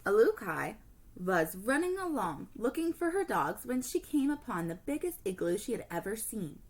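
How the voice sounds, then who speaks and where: A woman teacher reading a storybook aloud to children in an expressive storytelling manner. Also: mid-range voice, moderate pace.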